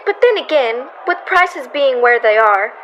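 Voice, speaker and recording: A woman's voice: sits high, lively intonation, unhurried, fairly bright tone, highs cut off. A woman playing a haughty, teasing teenage girl, breezy and cutting, in an old-time radio comedy style. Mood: disdainful, musing. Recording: clear background noise.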